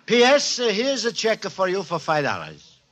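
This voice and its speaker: An actor playing a cheerful, naive Italian immigrant writing home in earnest broken English, in a 1940s radio comedy. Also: male voice, high voice, wildly swooping pitch, normal speed, fairly bright tone, exaggerated voice. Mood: earnest, proud. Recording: noisy, clicky 1940s radio recording.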